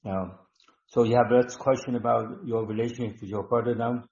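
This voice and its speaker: A man hosting a live online healing session, talking slowly and instructively, repeating words with many ums. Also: medium pitch, fairly even intonation, a little mellow. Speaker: webinar host and healer. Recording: dull roomy online call audio.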